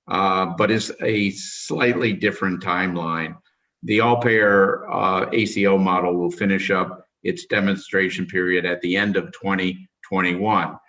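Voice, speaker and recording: Deep-voiced official, halting, losing his voice. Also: steady tone, pushed and bright, far from the mic, poor audio. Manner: halting, hesitant delivery. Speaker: government official giving testimony. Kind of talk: formal policy briefing.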